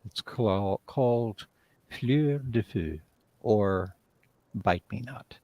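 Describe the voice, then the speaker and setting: A man's voice: lowish voice, extremely slow, lo-fi sound. A man talking casually as a playwright in a live discussion, rambling and often interrupting himself. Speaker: playwright and director, interview guest.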